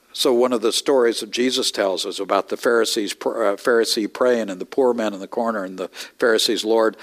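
A middle-aged man preaching a conversational sermon, with wry asides and sudden rises in pitch. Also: fairly bright tone. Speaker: pastor. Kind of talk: expository sermon.

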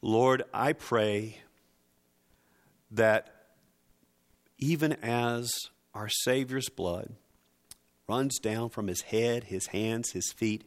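A man preaching a sermon in an emphatic, dramatic and deliberate way, building through repeated phrases. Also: lowish voice.

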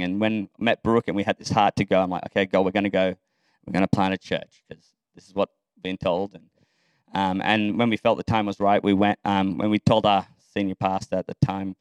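A man's voice: deep voice, level tone, a little fast, clear, resonant tone, dry recording, poor audio. A man in his late thirties with an Australian accent, a pastor giving a candid, self-deprecating testimony to a church, with many ums. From Sri Lanka.

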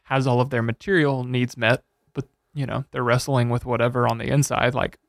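A man's voice: mid-range voice, level tone, fairly quick, vocal fry, dry recording, studio quality. A man who is a podcast guest, chatting casually in short, laid-back remarks. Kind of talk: casual chat.

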